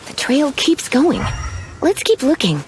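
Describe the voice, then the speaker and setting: A woman's voice: high voice, very animated, unhurried, background noise. An actress playing a confident, teasing young female mage librarian in a video game, with a coy, poised manner. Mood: coy, intrigued. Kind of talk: video game character acting. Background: game music.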